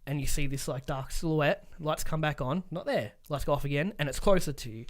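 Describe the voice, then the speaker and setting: Male podcast host, somewhat higher-pitched. Casual, blunt, freely swearing film talk. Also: brisk. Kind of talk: casual chat about films.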